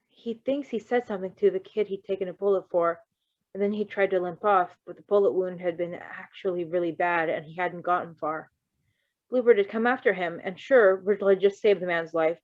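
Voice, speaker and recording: Expressive woman reading fiction, dry sound. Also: fairly low pitch, no echo, phone-quality sound. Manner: expressive, emotionally invested. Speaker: fan fiction reader. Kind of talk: story reading with casual asides.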